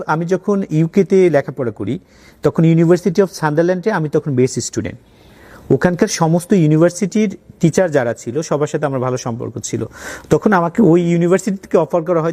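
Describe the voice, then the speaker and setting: A man's voice: a little high, lively intonation, a little fast, warm tone. A man with a Bangladeshi accent telling his own story conversationally as an interview guest, mixing in English words. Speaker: interview guest, college owner.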